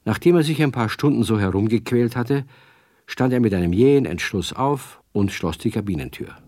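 A man narrating a children's radio play in a measured storytelling manner. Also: medium pitch, expressive, dark tone, no echo, clean studio sound.

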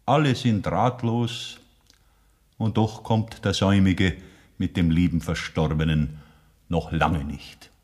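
An actor playing a mock-solemn ballad narrator, rhetorical and declamatory, in a 1960s radio play. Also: male voice, deep voice, fairly even intonation, slow, a little mellow. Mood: mock indignation.

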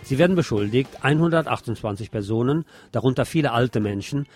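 Guy reading news on the radio, mid pitch, bit of music behind, throws in dry little comments. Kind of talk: scripted radio news reading with wry asides.

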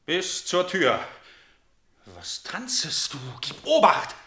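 A man's voice: sits high, wildly swooping pitch, slow, pushed and bright, exaggerated voice. An actor playing an imperious, suspicious usurper king in classical radio tragedy, demanding and theatrical, in an echoing room.

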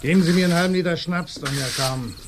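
An actor playing a poor, drunken, bereaved villager in a radio drama, plain-spoken, plaintive and stubbornly pleading. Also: male voice, sits high, very fast, background noise, natural voice. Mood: grieving, urgent. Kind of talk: German radio drama acting.

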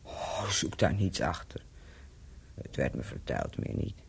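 A woman's voice: a bass voice, sing-song, unhurried, creaky, a little hiss or hum, heavily acted. A woman acting a worldly, mocking hotel owner in a radio play, teasing and sardonic in a theatrical way. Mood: knowing, cool.

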